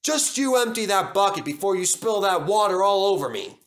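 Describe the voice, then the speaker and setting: A man voicing a brisk, excitable engine driver in a children's storybook. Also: high-pitched, pitched above the performer's own voice. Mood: scolding.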